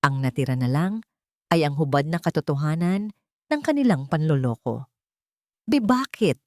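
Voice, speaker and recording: A woman's voice: low-pitched, expressive, moderate pace, mellow, a little hiss or hum. A woman with a Filipino accent reading scripted, dramatic narration of a romance story.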